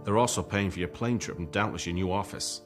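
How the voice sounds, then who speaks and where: Actor as a low, courtly comedy star. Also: male voice, music in the background, close to an everyday voice. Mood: offended, dignified. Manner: formal, polite, measured, proud. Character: courteous, dignified big male comedy film star of the 1930s. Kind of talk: radio-play style period drama acting.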